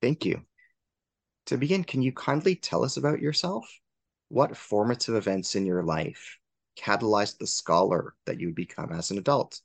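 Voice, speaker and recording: A man's voice: fairly low pitch, normal speed, creaky, no echo. A man hosting an interview podcast, speaking formally and courteously, with effusive thanks. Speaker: podcast host.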